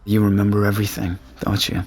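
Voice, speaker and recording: A man's voice: on the low side, flat delivery, quick pace, darkish tone, breathy edge. An actor playing a concerned male friend in naturalistic audio fiction, dry and blunt, with a needling, teasing edge. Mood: worried, challenging.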